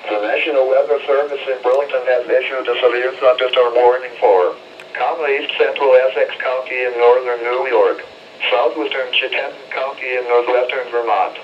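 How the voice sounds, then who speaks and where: A synthetic male voice with an American accent reads scripted weather bulletins over weather radio in measured, short, clipped phrases. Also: mid-range voice. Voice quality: synthetic text-to-speech voice. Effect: weather radio broadcast. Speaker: automated weather radio announcer. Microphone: radio receiver picked up by a camera mic. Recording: noisy, distant, muffled radio speaker pickup.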